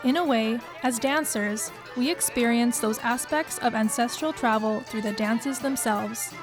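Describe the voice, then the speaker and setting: A young woman from Canada, a dancer, reading scripted narration in a smooth, even way. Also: on the high side, measured pace. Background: music.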